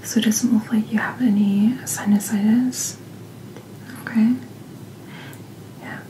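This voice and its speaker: A woman speaking in a soft, breathy whisper, gently and reassuringly playing a doctor in an ASMR roleplay, close to the microphone. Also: medium pitch, steady tone, slow, slight background noise, low-quality recording. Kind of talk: soft-spoken ASMR medical checkup roleplay.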